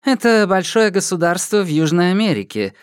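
Man putting on a coy, high-voiced young orc woman. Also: sing-song, fairly quick, clear, resonant tone, dry recording, studio quality. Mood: curious. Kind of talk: audiobook character voice.

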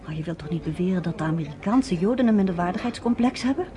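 An actress playing a proud, curious American nurse in a radio drama, talking firmly and with challenge. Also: female voice, fairly low pitch, expressive, fast talker, warm tone, close to an everyday voice.

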